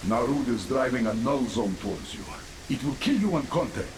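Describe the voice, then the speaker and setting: A man's voice: mid-range voice, animated, a little fast, noisy background, close to an everyday voice. A man playing a grim infested officer in a video game, with a grave, wry, dramatic way of talking. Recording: echoey, game music behind.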